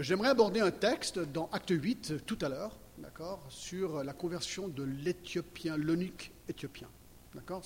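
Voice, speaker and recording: A man's voice: on the high side, darkish tone, slight background noise. A man in his sixties, American raised in Geneva, preaching a sermon and sharing his testimony, chatty and often checking in with the congregation. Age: 60s. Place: church hall. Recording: long reverb hall, faint ambience.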